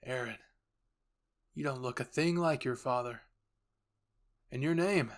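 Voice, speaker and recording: A man playing a menacing, self-assured male enemy who talks deliberately, with condescending sympathy, in a roleplay story. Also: medium pitch, natural voice. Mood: coolly taunting.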